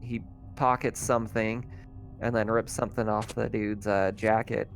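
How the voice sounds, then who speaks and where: A man's voice: fairly low pitch, flat delivery, normal speed, gravelly, slight background noise, poor audio. A man running a tabletop roleplaying game as game master, narrating off the cuff in a casual, conversational way.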